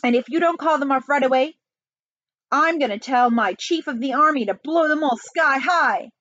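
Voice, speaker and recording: A woman voicing an excitable, childish, blustering male president in a storybook reading, loud and indignant. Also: high voice, moderate pace, dull audio, voice pushed up.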